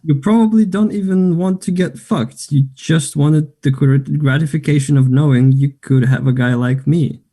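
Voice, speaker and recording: A pushy young man from a stage play played by a man at a table read, with a husky, gravelly edge. His tone is mellow and low-key, but he presses and needles like a spurned lover. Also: moderate pace, few pauses. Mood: bitter, sarcastic.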